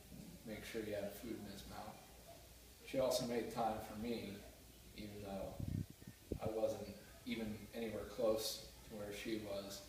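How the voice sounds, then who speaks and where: A young man giving an earnest, heartfelt class speech, with a few small restarts. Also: on the low side. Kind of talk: prepared speech. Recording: roomy echo, mic far off, faint noise.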